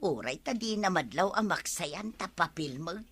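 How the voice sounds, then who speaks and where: A woman's voice: on the low side, lively intonation, moderate pace, a bit bright, husky, full character voice. A woman with an Ilocano Filipino accent playing a vain, scheming housewife in a comedy radio drama. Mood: sly. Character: vain, scheming housewife keen on beauty products.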